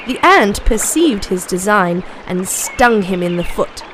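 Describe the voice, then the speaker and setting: A woman's voice: medium pitch, expressive, measured pace, noisy background. A woman reading a scripted fable for children as a storyteller.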